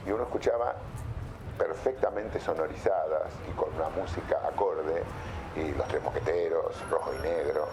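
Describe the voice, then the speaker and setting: An older man from Argentina with an Argentine accent, reminiscing and explaining in a halting, anecdotal interview style. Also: rumbling low, background noise.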